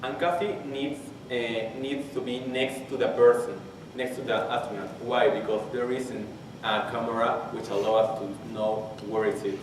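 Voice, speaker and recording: A man in his twenties from Peru with a Spanish accent, his first language, giving a technical conference talk and haltingly restarting phrases as he searches for English words. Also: medium pitch, steady tone. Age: 20s. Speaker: conference presenter. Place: large conference hall. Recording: very echoey hall, distant mic, faint hiss.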